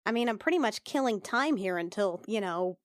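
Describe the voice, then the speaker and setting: A woman's voice: mid-range voice, expressive, fairly quick, no room sound, studio quality, lightly acted. A woman playing a self-doubting, plain-spoken young princess and healer in a tabletop game, casual, hesitant and wry. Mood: frustrated.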